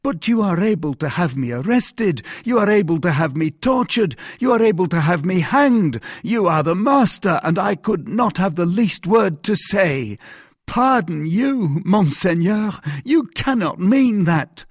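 Man acting a high-pitched, fawning commoner prisoner. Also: very animated, slightly rough, no room sound, studio quality, heavily acted. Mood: humble pleading. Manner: exclaiming, drawn-out, servile pleading. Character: fawning, gullible, overawed commoner mercer prisoner.

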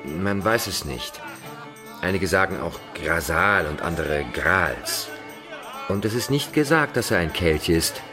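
An eager young man steeped in knightly legends. His voice is fairly low, and music plays clearly behind him in this radio play. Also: lively intonation, measured pace. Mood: earnest, insistent. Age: young adult. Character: eager young Frenchman versed in crusader legends. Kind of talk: radio drama acting.

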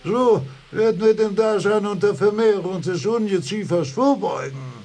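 An actor playing a frail old philosophy professor, pedantic, halting and querulous, in a radio drama. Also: male voice, high voice, a little mellow. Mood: stern, fussy. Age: older.

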